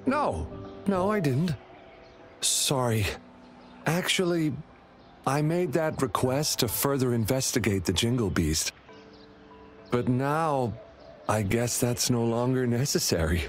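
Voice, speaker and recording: A young game character, timid and full of remorse. He talks slowly at an ordinary male pitch, hesitant and apologetic. Music is clearly heard behind him. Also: lively intonation. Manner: hesitant, apologetic, soft-hearted. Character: timid, remorseful young man, devoted older brother. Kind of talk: video game character dialogue.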